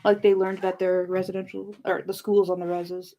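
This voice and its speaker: A young woman hosting an informal livestream discussion. She speaks at a middle pitch in a loose, conversational way, wandering from one thought to the next as she addresses her viewers. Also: level tone, normal speed, dull audio. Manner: informal, rambling, digressive. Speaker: livestream host of a book discussion. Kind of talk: casual chat with live viewers.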